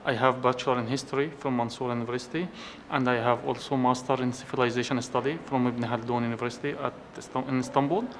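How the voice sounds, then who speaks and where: A man from Egypt introducing himself and his studies to camera, brisk and flat. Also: mid-range voice, slight background noise.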